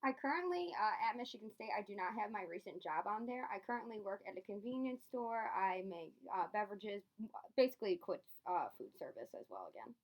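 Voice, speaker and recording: A woman's voice: mid-range voice, expressive, fairly quick, rough recording. A young adult woman, a student, politely and earnestly answering questions as a candidate in a phone interview.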